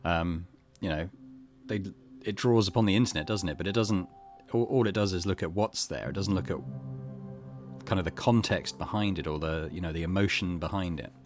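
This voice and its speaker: A man hosting a gaming let's play, casually reading on-screen text and commenting in a musing, low-key way. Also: a little fast, soft background music. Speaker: gaming channel host.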